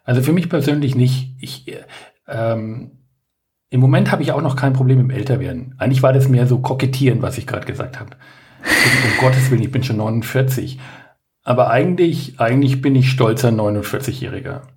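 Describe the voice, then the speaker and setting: A man's voice: mid-range voice, fairly quick. A man in his late forties, a guest coach on a podcast, talking casually with lots of fillers, restarts and self-mocking jokes. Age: 40s.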